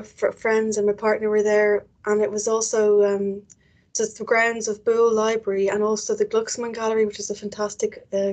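A woman's voice: mid-range voice, darkish tone. A woman with a Dublin Irish accent, an archivist answering interview questions in a chatty way, often restarting her words. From Ireland.

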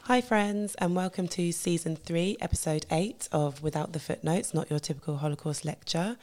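A woman hosting a history podcast, talking casually with a husky voice, many fillers and quick self-corrections. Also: fairly low pitch, a little mellow, soft background music. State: husky voice from a tiring weekend. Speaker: podcast host. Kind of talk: casual educational podcast talk.

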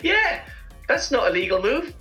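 A man's voice: extremely high pitch, sing-song, normal speed, pushed and bright, husky, faint music behind. A man co-hosting a chat show, telling rambling, humorous anecdotes in a casual style. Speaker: co-host presenting segments.

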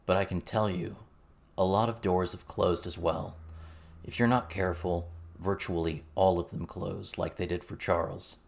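A man voicing a calm, weary soldier who speaks in a measured, grave, matter-of-fact way. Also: low voice, gravelly. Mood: cautioning.

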